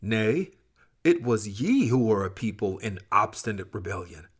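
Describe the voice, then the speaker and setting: A man's voice: fairly low pitch, sing-song, a little slow, husky, exaggerated voice. A man voicing doomed sinners and scoffers in a dramatized scripture reading, exclamatory, anguished and accusing.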